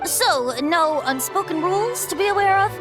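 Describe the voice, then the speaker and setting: Game role: light-hearted bard, high voice, courteous and teasing, music clearly behind. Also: female voice, lively intonation, moderate pace. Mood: playful. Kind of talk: scripted English game voice acting. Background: background music.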